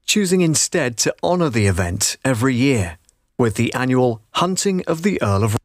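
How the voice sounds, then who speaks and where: Male voiceover, middle-aged, medium pitch, scripted promotional documentary read, very dry close booth recording. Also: sing-song, normal speed, no room sound. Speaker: voiceover artist. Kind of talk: scripted documentary-style narration, demo takes. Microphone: close studio microphone.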